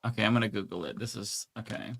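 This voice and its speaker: A man's voice: fairly low pitch, fairly even intonation, fast talker, creaky, slightly rough. A man co-hosting a casual livestream, chatty, blunt and joking. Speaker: co-host.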